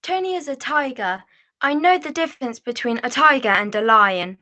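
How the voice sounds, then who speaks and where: A woman's voice: high-pitched, normal speed, pushed and bright. A young woman voicing an insistent, matter-of-fact puppet in an amateur play over a video call. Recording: muffled video call audio.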